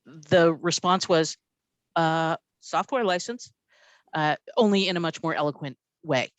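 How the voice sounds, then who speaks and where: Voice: medium pitch, measured pace, no echo. Meeting presenter on a video call, lots of ums, casual and chatty, dry close sound. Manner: chatty, filler-heavy, informal. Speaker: presenter at a library committee meeting. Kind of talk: meeting discussion.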